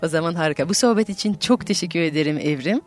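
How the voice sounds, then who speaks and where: A woman from France, a radio host narrating a folktale with expressive, dramatic pauses. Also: sits low. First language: French.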